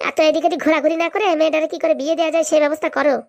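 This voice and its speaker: Shrill-high nagging cartoon village wife. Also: female voice, little pitch movement, racing, darkish tone, dry recording. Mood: scolding. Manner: nagging, colloquial. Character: scolding older village mother and wife, cartoon. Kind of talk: comic cartoon dialogue.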